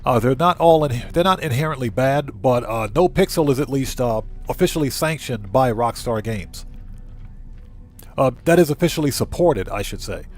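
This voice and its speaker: A man's voice: medium pitch, animated, moderate pace, darkish tone, faint music behind. A man hosting a casual gaming livestream, talking informally to viewers as he plays. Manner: conversational, informal, reads out chat and answers it. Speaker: live streamer, channel host. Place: indoor room at home.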